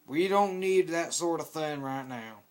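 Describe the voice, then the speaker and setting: A man's voice: fairly high pitch, lively intonation, measured pace, a little mellow, pitched above the performer's own voice. A man doing a drawling Texan engineer. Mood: reassuring. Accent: put-on Texan. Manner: drawling, folksy. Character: folksy friendly Texan male engineer.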